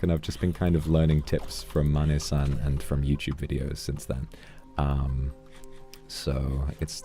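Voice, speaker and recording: A man streaming a game, chatting calmly and earnestly with viewers and thanking them for donations. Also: rumbling low. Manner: calm, reflective, earnest. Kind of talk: casual livestream chat while gaming.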